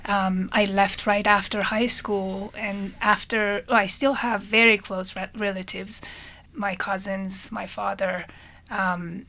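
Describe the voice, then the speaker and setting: A woman who grew up in Iran, answering interview questions in a thoughtful, halting way with many restarts. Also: mid-range voice, little pitch movement, pushed and bright. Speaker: guest.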